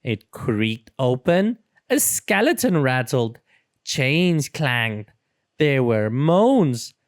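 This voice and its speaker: A storyteller reading a children's book aloud in an expressive, dramatic and deliberate way. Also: medium pitch, no echo, clean studio sound. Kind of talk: expressive read-aloud narration.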